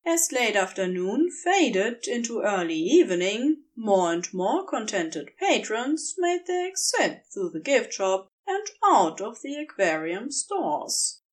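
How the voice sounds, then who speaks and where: A woman's voice: fairly high pitch, very animated, takes it slowly, few pauses. A woman reading scripted fiction as the narrator, telling a story.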